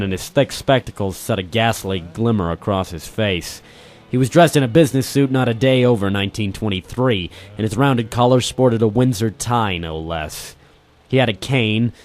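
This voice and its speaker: A man's voice: on the low side, a little mellow. A man giving scripted first-person detective narration in a noir radio style, with music behind him.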